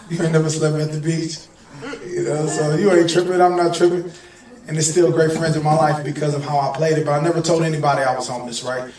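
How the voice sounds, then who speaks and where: A hoarse man from Detroit, a singer, talking loosely and directly to a gathered audience in slangy, conversational speech. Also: on the high side, steady tone, fairly quick, pauses often, a crowd in the background. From Detroit, USA. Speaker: singer and guest speaker. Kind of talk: casual heartfelt talk to an audience. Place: gathering in Malibu. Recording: echoey room, distant mic, music behind.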